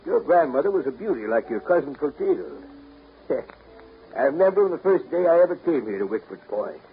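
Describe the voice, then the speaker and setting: A blustery old grandfather in a 1930s radio play, grumbling about supporting his family. His delivery is emphatic and very animated in pitch, on a tinny, narrow old broadcast recording with music behind. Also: moderate pace. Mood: grumbling reminiscence. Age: older. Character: gruff old grandfather, grumbling, practical-minded family provider. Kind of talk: 1930s radio drama acting.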